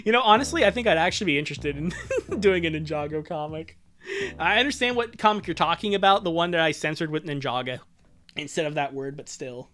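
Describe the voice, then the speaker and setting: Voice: mid-range voice, expressive, brisk, fairly bright tone. A livestream host chatting casually and reacting to viewers in an exasperated, rambling, sweary way. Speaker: livestream host reading fan fiction aloud.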